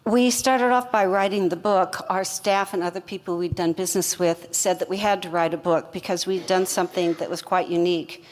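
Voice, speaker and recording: An older woman, a company co-founder, telling her business story in an interview at a measured pace with many fillers. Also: on the low side, fairly even intonation, in a large hall. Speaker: co-founder guest.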